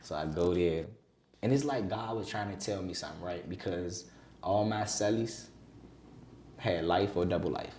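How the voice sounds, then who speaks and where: A man's voice: low voice, moderate pace, darkish tone, echoey room, a little hiss or hum. A man in his late twenties with a Washington DC area accent, candidly telling his story in a casual podcast interview, using street slang. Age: 20s. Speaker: podcast guest.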